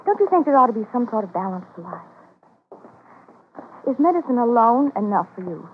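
A woman's voice: fairly high pitch, sing-song, brisk, slight background noise. An actress playing a wistful, affectionate young woman, an old sweetheart, in an old-time radio drama, speaking tenderly. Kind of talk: 1940s-50s radio drama acting. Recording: tinny old radio recording, music behind.

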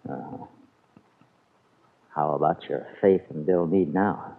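A man's voice: a bass voice, takes it slowly, creaky, exaggerated voice. An actor playing a blunt, protective, skeptical man in a vintage radio soap, speaking with exasperated emphasis. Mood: indignant. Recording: tinny phone-like old radio recording.

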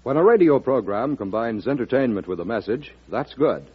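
A man's voice: medium pitch, lively intonation, moderate pace, husky. A man working as a radio announcer, delivering a formal, emphatic 1940s promotional read. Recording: muffled old radio broadcast.

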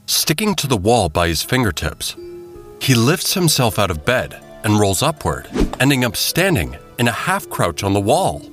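A man narrating a scripted audio drama as a measured, descriptive storyteller. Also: on the low side, huge pitch swings, music in the background. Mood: storytelling.

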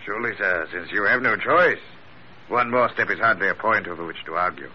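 An actor playing a theatrical, gloating gentleman blackmailer in florid, formal speech, in classic radio drama style. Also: on the low side, lively intonation, brisk, natural voice. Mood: menacing, formal. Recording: muffled old radio broadcast, faint hiss.

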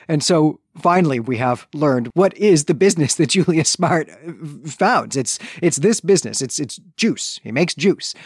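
A man's voice: on the high side, dry recording, clean studio sound. A man co-hosting a podcast, recapping and discussing a book in a methodical way with wordy asides. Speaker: podcast co-host.

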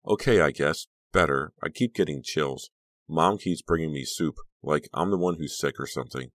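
A man voicing a shaken, guarded high-school girl in an audiobook, hesitant and strained as if holding back feeling. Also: moderate pace, a bit bright, no room sound, clean studio sound. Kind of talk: audiobook character dialogue.

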